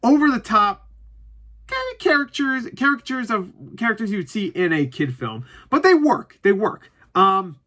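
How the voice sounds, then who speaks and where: A man's voice: sits high, sing-song, moderate pace, pushed and bright. A man giving a casual, rambling, opinionated movie review, informal and joking, swearing freely and repeating himself for emphasis.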